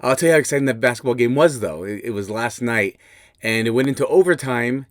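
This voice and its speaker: A man's voice: mid-range voice, expressive, quick pace, no room sound, studio quality. A man hosting a podcast with a slightly scratchy voice, explaining things casually and restarting his sentences as he thinks aloud. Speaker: podcast host. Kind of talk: casual instructional podcast talk.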